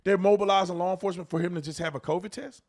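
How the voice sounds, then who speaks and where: A man's voice: sits high, fairly even intonation, very fast, darkish tone. An American man hosting an informal, emphatic commentary, repeating phrases to drive his points home. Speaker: host commentator, former police officer.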